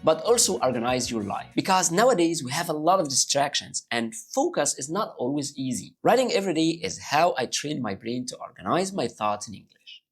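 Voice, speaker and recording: A man's voice: fairly high pitch, fairly quick, hardly any pauses, slightly rough, faint music behind. A man from Egypt with an Egyptian Arabic accent, a native Arabic speaker, giving upbeat English-learning tips straight to his viewers. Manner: upbeat and animated, addressing viewers directly. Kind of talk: talking to camera, motivational tips.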